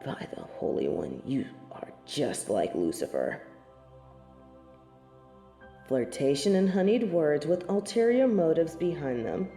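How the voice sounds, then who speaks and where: Woman acting a haughty, slow-spoken angel of judgement. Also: deep voice, sing-song, dark tone, reverberant, faint music behind, exaggerated voice. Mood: haughty disdain. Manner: haughty, formal, archaic phrasing, disdainful. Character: snobby, haughty female angel of judgement, proud, scornful tsundere. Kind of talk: scripted audio roleplay acting.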